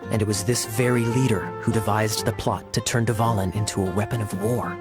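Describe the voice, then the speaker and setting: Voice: mid-range voice, steady tone, brisk, lightly acted. An actor voicing a playful, teasing young male bard. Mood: sly. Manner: teasing, sly. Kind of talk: game cutscene dub. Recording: game cutscene with music behind.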